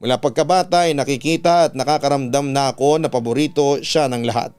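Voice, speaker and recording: Filipino radio guy reading a dramatic confession story, clean smooth voice, music playing under him. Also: medium pitch, steady tone, fast talker, a little mellow. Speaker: radio announcer and story narrator. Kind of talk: dramatic narration of a listener's letter in first person. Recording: music bed behind the voice. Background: background music.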